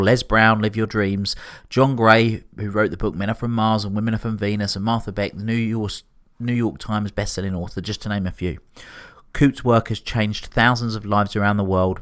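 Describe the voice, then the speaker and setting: Male podcast host; low, dry close-mic read; slightly halting questions. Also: a bit bright, no room sound. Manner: reads guest bio, then halting with repeated words. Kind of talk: scripted intro then interview questions.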